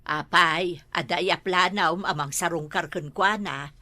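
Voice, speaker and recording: A woman's voice: lowish voice, unhurried, sharp tone. A middle-aged woman with an Ilocano accent, playing a mother in a comedy drama, scolding and advising.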